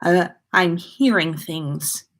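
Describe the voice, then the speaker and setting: A woman's voice: on the low side, slow pace. A woman reading as a nervous teenage wizard schoolboy in a story, stammering and hesitant. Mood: nervous, shaken.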